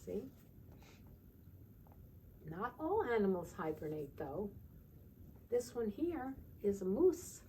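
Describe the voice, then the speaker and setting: A woman teacher reading aloud to young children in a sing-song way, pausing to ask simple check-in questions. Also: medium pitch, sing-song, warm tone, slight background noise, rough recording. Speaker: children's teacher and storytime reader.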